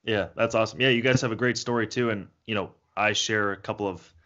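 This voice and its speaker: A man's voice: lowish voice, fairly even intonation, quick pace, pushed and bright, muffled recording. A young man hosting a podcast, affirming his guest and asking friendly questions. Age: young adult. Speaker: podcast host. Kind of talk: casual interview.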